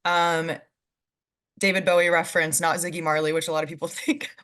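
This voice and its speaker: A woman's voice: on the low side, level tone, fairly quick, a bit bright. A young woman in her twenties from California, chatting casually as a guest on a video call, with lots of "um"s and easy laughs. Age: 20s. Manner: chatty, many "um"s, laughs easily. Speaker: guest panelist.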